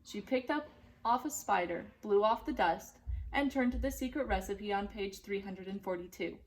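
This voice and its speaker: A woman narrating a children's storybook in an expressive, deliberate and dramatic way. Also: medium pitch, poor audio. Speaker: storybook reader.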